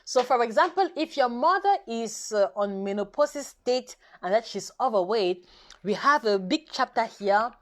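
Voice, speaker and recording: A woman whose first language is French, hosting a live nutrition session in careful, halting English full of restarts, coaxing her viewers directly. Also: fairly high pitch, expressive. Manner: halting, self-correcting English with many restarts and fillers. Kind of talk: live stream chat to viewers.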